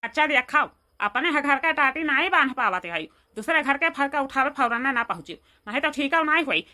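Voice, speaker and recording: A woman's voice: sits high, expressive, normal speed, sharp tone. A woman voicing a cantankerous, bossy old village mother-in-law with an Awadhi accent in a comedy cartoon, nagging and scolding indignantly. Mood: threatening nagging. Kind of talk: Hindi dialect comedy cartoon dialogue.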